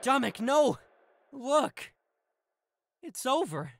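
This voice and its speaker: A man voicing an urgent, loyal male animal-folk friend in a visual novel game, with drawn-out lines. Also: very high voice, big pitch swings, in a large hall, voice pushed up, full character voice. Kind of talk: acted dialogue read in a let's play. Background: background music.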